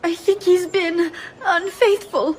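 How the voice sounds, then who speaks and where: An actress playing a heartbroken, sulky young sister in a video game, tearful. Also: female voice, extremely high pitch, fairly even intonation, slow, slight background noise. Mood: tearful, bitter. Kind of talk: video game cutscene acting.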